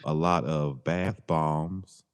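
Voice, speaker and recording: Very deep-voiced man chatting easily. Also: fairly even intonation, smooth. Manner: laid-back, joking, drawn-out phrasing. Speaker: podcast co-host. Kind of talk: casual chat.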